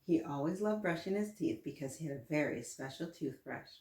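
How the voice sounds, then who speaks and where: Female children's story host, slightly low register, read-aloud with chatty, encouraging asides; echoing room recording. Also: expressive, fairly quick, a little mellow. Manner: encouraging, addresses listeners directly with simple questions. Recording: roomy, echoing room sound.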